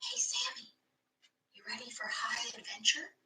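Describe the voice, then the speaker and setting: A woman's voice: a little high, big pitch swings, unhurried, pushed and bright, in a whisper, voice pushed up, exaggerated voice. A woman voicing a worried, doubtful young boy in a storybook, hesitant and full of questions. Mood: soft, coaxing. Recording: echoey room, distant microphone.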